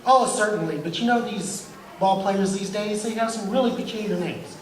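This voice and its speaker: A man's voice: sits high, moderate pace, close to an everyday voice. An amateur actor playing an unflappable, insistent straight man in a vintage radio comedy double act. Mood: confident, explaining. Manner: matter-of-fact, insistent. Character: unflappable, matter-of-fact comedy straight man, a team coach. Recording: echoey room with faint noise.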